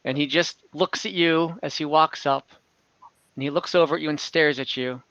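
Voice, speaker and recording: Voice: mid-range voice, fairly even intonation, moderate pace, fairly bright tone, dry recording. A game master narrating an online tabletop roleplaying game in an improvised, conversational way. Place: online voice call. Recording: dull lo-fi online call audio.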